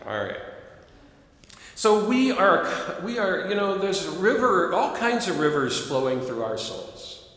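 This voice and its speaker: A man's voice: high-pitched, sing-song, fairly bright tone. Older Midwestern man preaching in a hall. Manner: reflective, measured, earnest. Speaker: minister. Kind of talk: sermon. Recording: echoey hall, long reverb.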